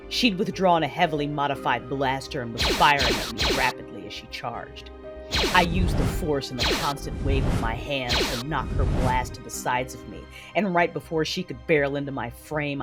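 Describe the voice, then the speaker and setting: An actress playing a cruel, cold cyborg warrior mother in an audio drama, measured, menacing and commanding. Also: a bass voice. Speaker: narrator of own story in audio drama. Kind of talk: dramatic first-person narration and acting. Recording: music bed behind voice.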